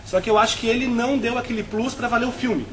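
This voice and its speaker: A man's voice: very high voice, fast, fairly bright tone, slight background noise. A Brazilian man on a film review panel, talking at length with frequent restarts and tag questions.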